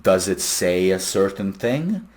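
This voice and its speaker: Deep-voiced man in a casual explainer vlog. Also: big pitch swings, slow, a little mellow. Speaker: AI phone agent developer presenting a video.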